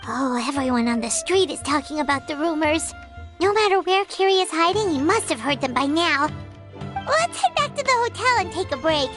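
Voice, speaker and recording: A woman voicing a tiny, eager, childlike sidekick with a squeaky voice in a video game. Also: expressive, normal speed, fairly bright tone, faint music behind. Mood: chatty, practical.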